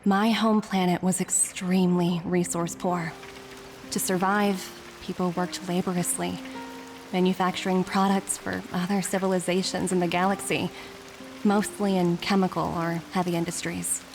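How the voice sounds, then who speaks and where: A woman voicing a composed corporate agent in a video game, reading a formal, earnest and persuasive letter in a measured way. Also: lowish voice, level tone, a little mellow, lightly acted. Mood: measured, solemn. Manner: measured, formal, deliberate phrasing. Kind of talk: scripted game letter monologue. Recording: background music under the voice.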